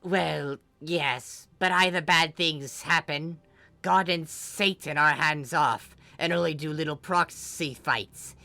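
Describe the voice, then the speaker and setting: A woman voicing a large, laid-back female snake in a story, soft and casually wry as she explains things. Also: low-pitched, slow, gravelly, no room sound, faint music behind, full character voice. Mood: frank explaining.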